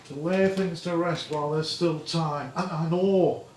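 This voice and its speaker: A man's voice: on the high side, fairly even intonation, unhurried, darkish tone, a little hiss or hum, natural voice. An actor playing a blunt, earnest man full of regret in a stage play. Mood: earnest, regretful. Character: frank, regretful man from comedienne's past. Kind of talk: theatrical stage dialogue. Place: theatre stage. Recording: echoey stage, distant microphone.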